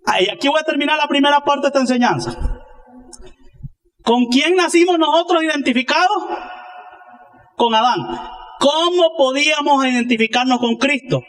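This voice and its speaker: A man's voice: very high voice, moderate pace, long pauses, a bit bright. A man delivers a sermon as a pastor. He speaks emphatically, building his points through rhetorical questions and answers addressed to his congregation.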